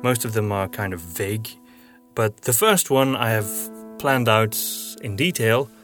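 A man's voice: fairly low pitch, unhurried, a bit bright, faint music behind. A man hosting a podcast, explaining news and projects to listeners in a conversational way. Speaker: podcast host and audio drama writer.

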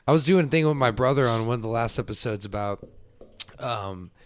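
A man's voice: medium pitch, animated, a little fast, smooth, dry recording, studio quality. A man in his late twenties hosting a podcast, chatting casually with laid-back, slangy banter and some swearing. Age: late 20s. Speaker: podcast host. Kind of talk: casual chat.

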